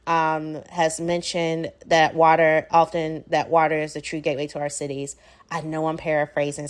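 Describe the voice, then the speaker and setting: A woman with a low voice and a Southern accent hosts her own podcast alone. She muses in a loose, unhurried way, with plenty of "like" and "um". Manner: casual, reflective, frequent "like" and "um" fillers. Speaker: podcast host. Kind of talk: solo podcast monologue.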